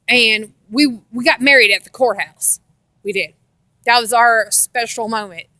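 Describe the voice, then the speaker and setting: A woman's voice: on the high side, bright, forceful tone, no room sound. An American woman telling a casual personal story in a rambling, repetitive way with folksy grammar. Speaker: storytelling vlogger.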